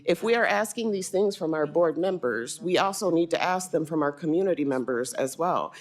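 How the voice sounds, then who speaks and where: A woman's voice: sits low, animated, fairly quick. A woman, a school board candidate and parent, making a firm, composed statement during public comment at a board meeting.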